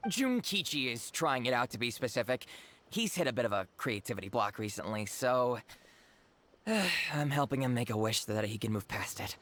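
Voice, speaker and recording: A man's voice: mid-range voice, expressive, normal speed, fairly bright tone, lightly acted. A man playing a pragmatic, exasperated book editor in a video game, blunt and correcting but reluctantly helpful. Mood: resigned. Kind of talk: animated game dialogue.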